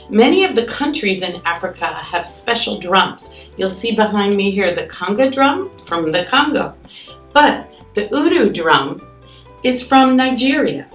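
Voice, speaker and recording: Roomy, distant-sounding woman teaching children. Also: mid-range voice. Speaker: elementary school music teacher. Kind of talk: instructional demonstration for children.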